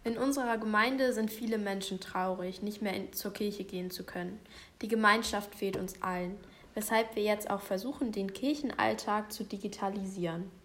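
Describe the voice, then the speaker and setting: Calm woman pastor in her fifties. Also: medium pitch, normal speed. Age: 50s. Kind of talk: podcast discussion guest.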